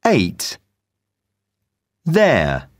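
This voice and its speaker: A woman with a very low voice narrates an exam recording, reading out numbers and spellings. She reads very slowly and deliberately, with wide swings in pitch, in a dry, close recording. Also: wildly swooping pitch, no room sound.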